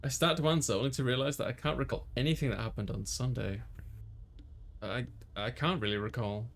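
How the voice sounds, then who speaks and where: A man's voice: medium pitch, brisk, vocal fry. A man reading a visual novel aloud as a casual first-person narration for a playthrough.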